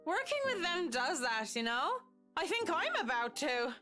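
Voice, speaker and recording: Gal doing a brash boozy young woman, crude and cheeky, highish voice, game music behind. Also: big pitch swings, moderate pace, sharp tone, heavily acted. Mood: scornful. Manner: crude, teasing, slangy.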